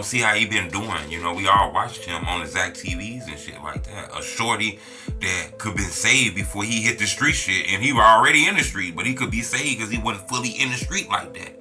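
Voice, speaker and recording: An American man hosting a street-culture interview channel, speaking in casual, conversational slang. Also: on the low side. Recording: music behind the voice.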